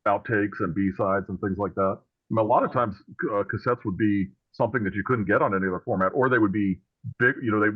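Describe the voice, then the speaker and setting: Fast-talking man on a phone-like line. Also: fairly low pitch, husky, no echo. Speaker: author interviewed as guest. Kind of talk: casual interview chat. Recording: phone-like thin call audio.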